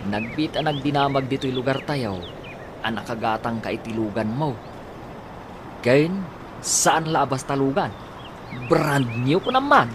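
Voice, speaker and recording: A man's voice: mid-range voice, expressive, a little slow, pauses often, background noise, lightly acted. A man with an Ilocano accent playing a teasing, gossipy buddy with a drawl in a radio comedy drama. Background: music.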